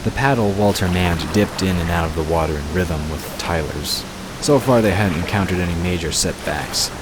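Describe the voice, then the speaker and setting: A man narrating a scripted fantasy story in a measured storytelling manner. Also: sits low. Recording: noisy, with water sound effects behind. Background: rushing water ambience.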